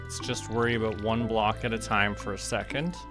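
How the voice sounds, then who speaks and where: A man from Canada doing casual gameplay commentary, thinking aloud to himself in short, unhurried remarks. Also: on the low side, flat delivery. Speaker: livestreamer playing a farming game. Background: game music.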